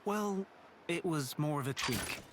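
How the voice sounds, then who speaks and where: Young inventor in a game, understated, lilting voice, slight noise behind. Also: male voice, a little high, expressive, measured pace. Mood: thoughtful. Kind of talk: video game cutscene acting.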